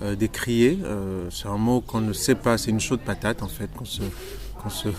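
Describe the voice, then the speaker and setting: A man's voice: fairly low pitch, steady tone, moderate pace, a little mellow, slight background noise. A man explaining a historical idea in an interview, searching for words and using many fillers.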